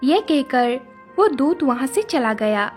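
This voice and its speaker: High-pitched, slow female storyteller. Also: very animated, faint music behind. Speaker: narrator. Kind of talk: scripted moral story narration.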